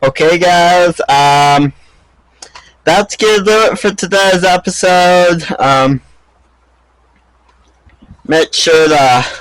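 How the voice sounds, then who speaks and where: A man hosting a goofy comedy vlog, raspy from a cold, talking hesitantly with many ums and restarts. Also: on the high side, fairly bright tone, no room sound, poor audio. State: has a cold. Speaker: vlog host.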